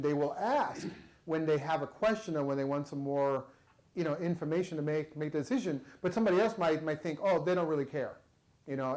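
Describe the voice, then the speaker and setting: Male workshop presenter, slightly high voice, chatty and casual with the room. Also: darkish tone, low-quality recording. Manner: conversational, chatty, repeats words. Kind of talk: workshop talk with audience.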